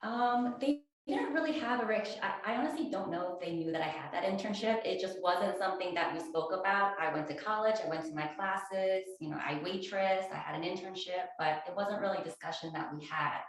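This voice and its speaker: A woman's voice: medium pitch, a little fast, hardly any pauses. A woman in her forties from New Jersey, a detective answering interview questions. She speaks in a plain, matter-of-fact way with a few fillers, into a small clip-on mic. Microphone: small clip-on mic shared between speakers. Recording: very echoey room.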